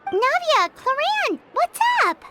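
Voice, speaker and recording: Game fairy sidekick: childlike, excitable, extremely high and animated. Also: female voice, slow pace, exaggerated voice. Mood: eager, curious. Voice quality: squeaky, childlike. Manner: refers to self in third person, exclamatory. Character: small excitable floating fairy companion, girlish and curious. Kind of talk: video game voice acting. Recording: background music under the voice.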